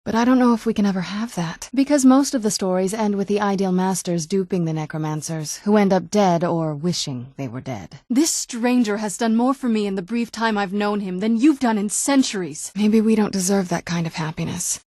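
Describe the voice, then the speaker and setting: A woman's voice: medium pitch, brisk, hardly any pauses, dark tone, no room sound, lightly acted. An actress playing a young-looking, guarded female vampire in a video game, speaking in a dry, earnest, conversational way. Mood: explanatory, reflective, then defensive, earnest. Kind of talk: video game character dialogue.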